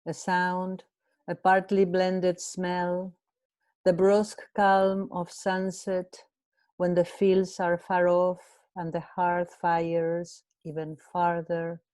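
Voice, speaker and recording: Very slow, dark-voiced Argentine woman. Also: lowish voice, completely flat delivery, no echo. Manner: measured, deliberate reading. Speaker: translation prize committee member. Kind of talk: reading poetry aloud.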